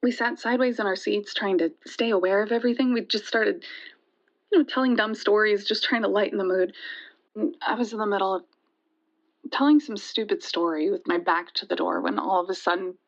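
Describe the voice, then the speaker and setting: A woman playing a shaken young woman who recounts a frightening night in an audio drama, halting and conversational, with hesitations and restarts. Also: mid-range voice, lightly acted. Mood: uneasy recounting. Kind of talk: first-person dramatic storytelling in an audio drama. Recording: dull, lo-fi sound.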